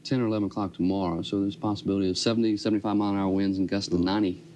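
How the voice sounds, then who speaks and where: A man's voice: fairly low pitch, steady tone, very fast, noisy background. A man giving a chatty, informal live TV weather forecast, ad-libbing between the figures. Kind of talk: live ad-libbed local weathercast with banter.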